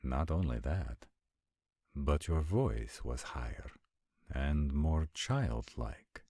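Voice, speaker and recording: Very deep, slow, formal immortal warrior played by a man. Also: expressive, dark tone, husky, dry recording, studio quality, exaggerated voice. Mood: curious, puzzled. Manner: formal, measured, stilted diction with deliberate pauses. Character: formal, centuries-old supernatural male warrior, concerned and protective. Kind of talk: scripted roleplay audio acting.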